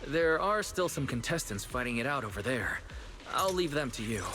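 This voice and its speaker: A man playing a calm, caring young guide in a video game, composed and earnest. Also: mid-range voice, normal speed, background noise, lightly acted. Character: calm, caring young male guide, level-headed leader.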